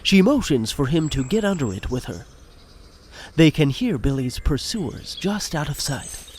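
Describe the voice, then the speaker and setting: A man's voice: on the high side, very animated, unhurried, slight background noise. A man narrating a scripted comic audio drama in a wry storytelling manner. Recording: music behind the voice.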